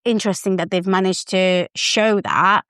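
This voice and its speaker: A woman chatting casually as a podcast co-host, telling things in an animated, conversational way. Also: mid-range voice, moderate pace, clean tone, no echo, clean studio sound.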